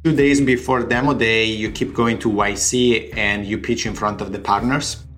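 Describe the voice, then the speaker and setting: A man's voice: on the low side, fairly even intonation, moderate pace, fairly bright tone. A man from Italy with an Italian accent, a startup founder talking through his fundraising in an interview, explaining figures step by step. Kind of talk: recorded interview.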